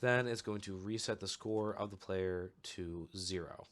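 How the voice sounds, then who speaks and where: A young man with a low voice explains a game setup step by step in an easy, unhurried way. He is just getting over a cold, so his voice sounds a little off. Faint mouse clicks and typing sit behind him. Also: a little hiss or hum. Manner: relaxed, explanatory, steady walkthrough with fillers. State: recovering from a cold, voice a bit off. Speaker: channel host giving a game tutorial. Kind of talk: casual screen-recorded tutorial.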